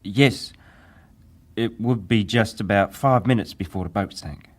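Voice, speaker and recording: A deep-voiced young ship's wireless operator testifies at a dramatized hearing. He answers with measured deference, sometimes hesitating, as a survivor choosing his words. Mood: grave, restrained.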